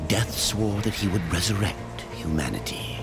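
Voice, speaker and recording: An actor playing an ancient male storyteller of the apocalypse, narrating a game cutscene in a slow, grave way that drops to hushed whispers. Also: lively intonation. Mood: grave, foreboding. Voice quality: deep gravelly voice. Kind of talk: epic cinematic narration. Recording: game cutscene over loud orchestral music.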